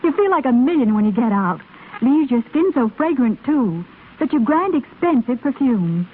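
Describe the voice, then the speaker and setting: A woman's voice: high voice, sing-song, moderate pace. An actress playing a breezy young woman chatting to a friend in a 1930s radio commercial skit. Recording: muffled scratchy 1930s radio broadcast.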